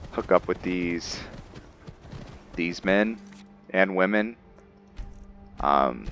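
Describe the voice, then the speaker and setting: A man giving casual commentary on his roleplay game, thinking aloud in a halting way. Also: low voice, a bit bright, a little hiss or hum. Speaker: gamer narrating a roleplay playthrough.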